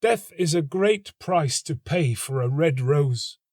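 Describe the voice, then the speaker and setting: A man's voice: a little high, lively intonation, takes it slowly, a little mellow, no room sound, clean studio sound, pitched above the performer's own voice. A man voicing a small, selfless female nightingale in a storybook, speaking in an earnest, lyrical, passionate way. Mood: wistful resolve. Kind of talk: storybook character acting.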